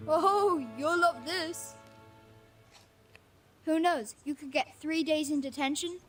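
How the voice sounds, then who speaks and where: Mouthy street-smart kid, high bright voice, game music under it. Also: female voice, takes it slowly. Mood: sly. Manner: brash, mocking, chatty.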